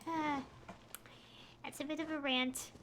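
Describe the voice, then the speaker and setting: High, bright-voiced woman rambling on stream. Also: steady tone, slow, slight background noise. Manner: exasperated, opinionated, pleading emphasis. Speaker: game streamer. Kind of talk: casual rambling livestream chat.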